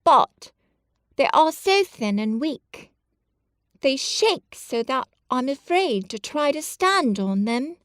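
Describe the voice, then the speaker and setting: A woman voicing a frail, excitable invalid boy in a high, breathless voice. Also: very slow, dry recording, clean studio sound, heavily acted. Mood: eager.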